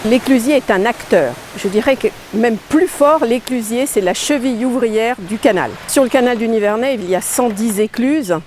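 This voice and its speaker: A woman's voice: a little high, moderate pace, few pauses, darkish tone, slight background noise. An older woman, a lock keeper, speaking in a heartfelt, reflective way in an interview. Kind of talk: interview testimony.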